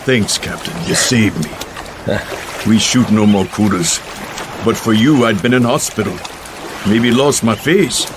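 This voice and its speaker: A man's voice: fairly low pitch, measured pace, long pauses, lightly acted. An actor playing an ageing, hearty Cayman Islander fisherman and guide in a radio drama, with a Caribbean accent and easygoing, folksy speech. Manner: easygoing, short plain instructions, folksy turns of phrase. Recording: noisy outdoor sound-effect ambience behind voice.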